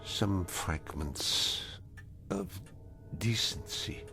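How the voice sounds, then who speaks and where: An actor playing a sorrowful, reproachful mentor in a radio drama, grave, halting and deliberate. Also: male voice, deep voice, wildly swooping pitch, gravelly, music in the background, full character voice. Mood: mournful reproach.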